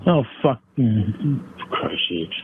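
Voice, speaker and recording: A man's voice: medium pitch, lively intonation, drawn-out words, creaky, over a noisy crowd. A man on a phone call arguing defensively, swearing and using nonstandard grammar. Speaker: caller in a confrontation. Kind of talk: heated phone argument. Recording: tinny narrow phone line.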